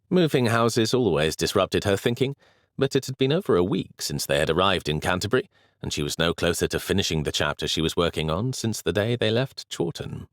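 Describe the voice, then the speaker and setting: Male narrator for a historical novel audiobook, low voice with lively intonation, dry studio sound. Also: no room sound. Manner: measured, expressive storytelling.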